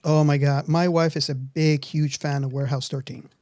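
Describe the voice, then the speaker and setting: A man's voice: fairly high pitch, steady tone, normal speed, a little mellow, no echo, clean studio sound. A man hosting a podcast in a casual, conversational style. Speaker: podcast host. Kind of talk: casual chat.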